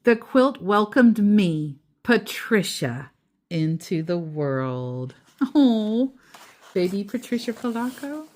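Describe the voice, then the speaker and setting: A woman reading a children's book aloud as a storyteller, deliberately, pausing between short phrases and adding casual asides. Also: mid-range voice, big pitch swings, darkish tone.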